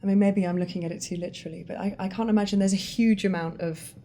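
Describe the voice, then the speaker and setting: A woman's voice: medium pitch, steady tone, fast talker, warm tone. A woman hosting a casual interview, chatty and encouraging, with an enthusiastic way of talking. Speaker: host.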